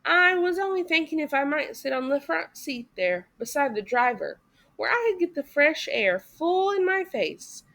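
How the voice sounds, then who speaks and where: A woman's voice: sits high, expressive, moderate pace, creaky, fairly bright tone, pitched above the performer's own voice, full character voice. A woman voicing a boastful, reckless male toad from a storybook, with a dramatic, exclamatory delivery. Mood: feigned meekness.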